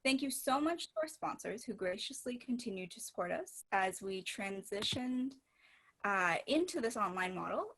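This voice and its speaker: A woman's voice: mid-range voice, animated, moderate pace, a bit bright. A woman hosting an online event, giving prepared announcements in a polite, formal manner. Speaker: event host.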